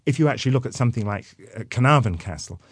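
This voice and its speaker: A man's voice: mid-range voice, expressive, racing, husky, no room sound. A man from North Wales with a Welsh accent, explaining things as a guest expert in a radio interview, with dry wit.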